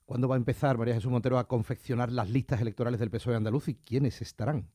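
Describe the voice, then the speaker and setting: A man interviewing a guest on the radio, asking brisk, polite questions. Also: mid-range voice, steady tone, mellow, no echo, studio quality.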